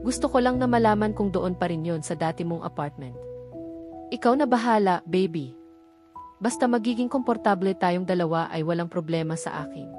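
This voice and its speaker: A woman's voice: on the low side, lively intonation, moderate pace, over music, natural voice. A woman with a Filipino accent voicing an agreeable, affectionate young girlfriend in a romance audiobook.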